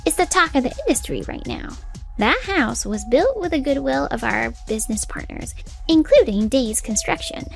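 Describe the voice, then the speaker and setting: Woman acting high-pitched, scolding secretary. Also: gravelly, full character voice. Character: stern, sharp-tongued female secretary, businesslike and reproachful. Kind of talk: expressive manga dub voice acting.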